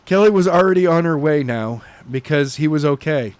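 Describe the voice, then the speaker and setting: A man narrating a novel chapter in an animated amateur audiobook style, stumbling and correcting himself as he reads. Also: on the high side, smooth, no echo. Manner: halting, stumbling read with self-corrections.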